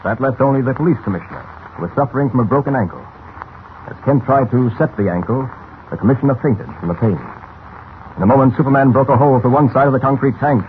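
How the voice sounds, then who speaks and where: A man's voice: mid-range voice, fast talker. Muffled vintage male radio serial narrator. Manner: grandiose, suspenseful. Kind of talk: dramatic scripted 1940s radio narration. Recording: muffled, faintly hissy old radio recording.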